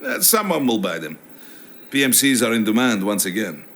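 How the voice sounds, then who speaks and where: A man's voice: on the low side, huge pitch swings, moderate pace, fairly bright tone, slight background noise, exaggerated voice. A man playing an affable middle-aged military businessman in a video game, courteous and wry.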